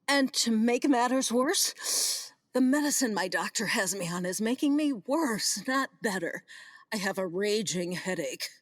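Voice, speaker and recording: A woman's voice: mid-range voice, animated, unhurried, no room sound, studio quality. A woman acting in a radio play as a practical, trusting, easily flustered vineyard owner. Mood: weary, exasperated.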